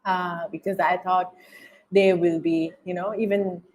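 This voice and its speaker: A woman with a Bangladeshi accent speaks slowly in a slightly low voice. She answers interview questions reflectively, pausing to search for words and often repeating a phrase before she moves on. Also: level tone, a little mellow, low-quality recording. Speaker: interview guest, documentary filmmaker.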